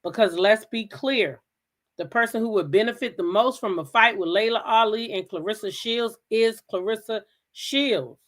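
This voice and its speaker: A woman's voice: a little high, normal speed. An American woman hosting a gossip talk show, giving blunt, emphatic commentary in a casual style and repeating phrases for effect.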